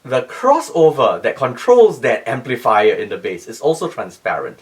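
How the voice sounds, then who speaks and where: Male interviewee, technical explainer. Lively intonation, repeats points for emphasis, voice sits back from the microphone. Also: mid-range voice, normal speed, recorded from a distance. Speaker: loudspeaker company CEO, interview guest. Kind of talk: interview explanation of audio design.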